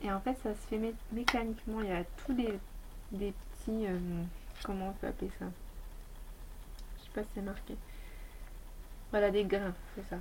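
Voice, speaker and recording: A woman's voice: mid-range voice, flat delivery, normal speed, long pauses, background noise. A woman beauty vlogger with a slight head cold, chatting casually and rambling through a review of her toiletries. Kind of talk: casual product review chat.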